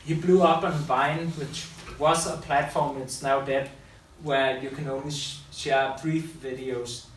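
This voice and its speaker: A man's voice: mid-range voice, fairly even intonation, measured pace. A man giving a public talk to an audience, loose and unscripted, often correcting himself mid-sentence. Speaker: public speaker and school teacher. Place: room with audience. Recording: echoey room from far off, faint hiss.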